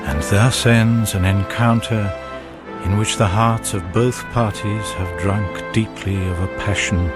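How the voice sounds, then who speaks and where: An actor with a British accent playing a grave, wistful narrator in a gothic radio drama, speaking in a measured literary manner. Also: male voice, fairly low pitch, fairly even intonation. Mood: solemn, wistful. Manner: measured, solemn, literary delivery. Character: grave, wistful male storyteller of a gothic romance. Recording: radio drama with loud music underneath. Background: loud music.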